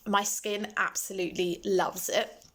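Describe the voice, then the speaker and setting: A young woman with a slight lisp talks through her skincare products in a relaxed, chatty way. Her pitch sits in a middle range, and she fills gaps with ums and restarts her sentences. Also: a little slow. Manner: chatty, with frequent ums and self-corrections. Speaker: skincare vlogger. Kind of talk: casual product walkthrough.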